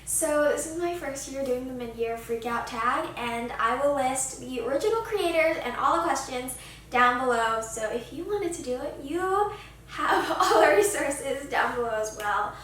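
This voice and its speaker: A woman's voice: sits high, expressive, moderate pace, a bit bright. A woman chatting casually and emphatically to her viewers as a gushing book vlogger. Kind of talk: casual chatty vlog to camera. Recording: very echoey room, distant mic, faint noise.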